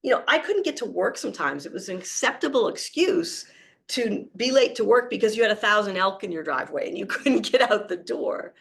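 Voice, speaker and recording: Woman, forties, Boston accent, hoarse and gravelly, warm storytelling conference speaker. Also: medium pitch, a little fast. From Boston area, Massachusetts. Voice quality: gravelly, creaky. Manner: animated, storytelling, frequent fillers. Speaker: wildlife conservation speaker. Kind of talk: public talk with slides.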